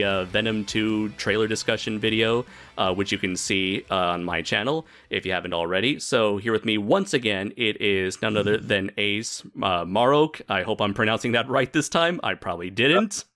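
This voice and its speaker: A man's voice: lowish voice, moderate pace, few pauses, fairly bright tone. A man hosting a discussion video, speaking hesitantly with many filler words. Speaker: host.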